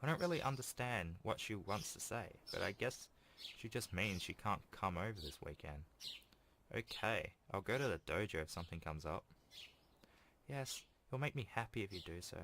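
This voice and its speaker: A man's voice: fairly low pitch, background noise. A man hosting a gaming video, reading a visual novel aloud in a casual way with informal offhand commentary. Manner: informal, makes offhand asides between read passages. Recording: game music playing behind the voice. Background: game music.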